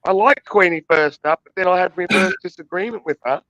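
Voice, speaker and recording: A man's voice: sits high, fairly quick, pushed and bright, dry recording, dull audio. A man in heated casual chat, indignant and complaining at length, swearing often.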